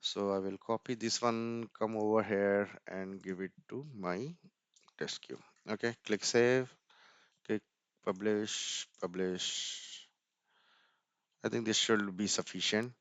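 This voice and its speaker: A man's voice: on the low side, fairly even intonation, takes it slowly, a bit bright, smooth, no echo. A man giving an informal screen-share tech walkthrough, thinking aloud hesitantly and often repeating words. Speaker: tutorial presenter.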